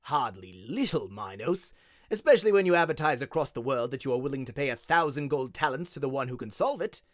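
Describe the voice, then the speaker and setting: A man's voice: medium pitch, expressive, fairly quick, dry recording, clean studio sound, natural voice. A man voicing a plump, genial, slightly nervous king with a chatty, lilting delivery. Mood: genial, amused.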